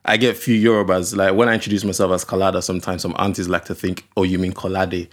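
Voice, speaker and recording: A young man from Nigeria, a podcast guest, talking in a relaxed, unhurried way with frequent ums and uhs. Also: low-pitched. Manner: relaxed, hesitant with fillers, laughs easily. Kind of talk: casual conversation.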